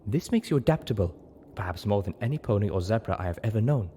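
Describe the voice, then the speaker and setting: Casting: male reader as a blunt zebra mare, measured and formal, voice lifted slightly, storybook, faint noise behind. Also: lowish voice, expressive, dark tone, natural voice. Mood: cool, frank. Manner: measured, frank, formal wording. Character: cool, blunt, observant female zebra.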